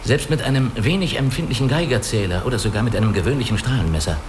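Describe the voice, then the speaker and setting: An actor in a radio drama playing a guarded, analytical spaceship crewman who speaks deliberately and reasons step by step. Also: mid-range voice, background noise, close to an everyday voice. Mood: confiding, measured. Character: guarded, analytical male spaceship crewman hiding his identity. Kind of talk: German radio play dialogue.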